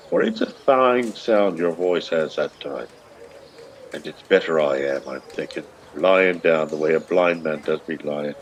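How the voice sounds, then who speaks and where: A man's voice: low-pitched, a bit bright, a little hiss or hum. An actor playing a lovestruck, shabby old Irish beggar man in a radio play, speaking in long, poetic, pleading runs. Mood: wistful, wooing. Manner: poetic, long rolling pleas. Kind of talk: lyrical stage radio-play acting.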